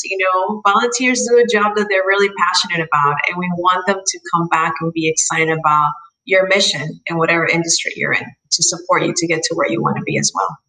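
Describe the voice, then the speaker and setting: A woman's voice: lowish voice, bright, forceful tone. Guest woman wealth advisor, earnest and encouraging, recorded away from the mic in an echoey room. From Colombia. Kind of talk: podcast interview. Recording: roomy echo, distant mic.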